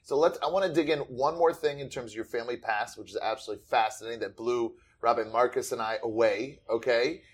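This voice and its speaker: A man's voice: mid-range voice, fast talker, hardly any pauses, slightly rough. Enthusiastic male interviewer. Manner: enthusiastic, animated storyteller. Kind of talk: conversational interview.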